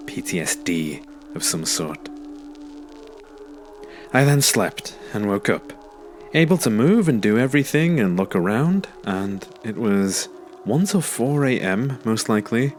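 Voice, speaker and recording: A man with a low voice narrates a submitted ghost story. He reads the first-person account as a script, with faint music underneath. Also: animated, unhurried. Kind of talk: scripted narration of scary stories.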